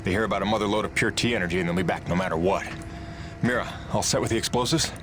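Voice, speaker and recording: Actor as low-voiced, fast-talking rebel pilot. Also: male voice, background noise, close to an everyday voice. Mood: steady, reassuring. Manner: plain-spoken, reassuring. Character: weary, steady rig pilot leading a rebellion. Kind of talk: game cutscene acting. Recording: loud music behind the voice.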